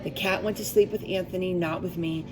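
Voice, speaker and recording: A woman's voice: on the low side, fairly even intonation, brisk, noisy background. A woman reading a picture book aloud to young children, expressively, with asides and questions to her listeners.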